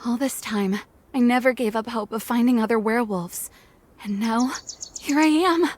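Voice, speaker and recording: A woman plays a young female werewolf in a dramatic game story. Her voice sits fairly high, and she speaks with earnest hope and firm resolve, pleading for her cause. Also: moderate pace, lightly acted. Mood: awed, curious. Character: determined, hopeful young female werewolf seeking freedom. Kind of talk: dramatic game cutscene acting.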